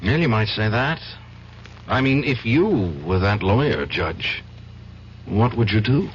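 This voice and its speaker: An actor playing a troubled, indignant younger defense lawyer in an old-time radio drama, speaking in a halting, intense, agitated way. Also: male voice, fairly low pitch, animated. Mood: bitter. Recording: dull, faintly noisy old radio recording.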